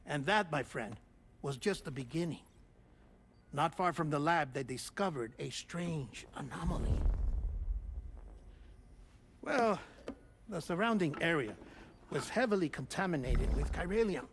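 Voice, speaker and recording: Game cutscene: eccentric male scientist, conversational storyteller, theatrical and affable, higher register, faint background noise. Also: lively intonation. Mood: expository, intrigued. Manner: theatrical, conversational storytelling with chuckles and dramatic pauses. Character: eccentric, affable male researcher recording an explanatory message. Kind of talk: video game cutscene monologue, explanatory narration.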